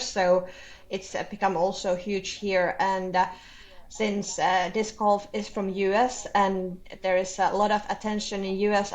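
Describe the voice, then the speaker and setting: A woman's voice: mid-range voice, darkish tone. Finnish-accented woman, hesitant interview guest. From Finland. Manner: hesitant, halting non-native phrasing. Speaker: interview guest, board candidate. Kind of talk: unscripted interview answers.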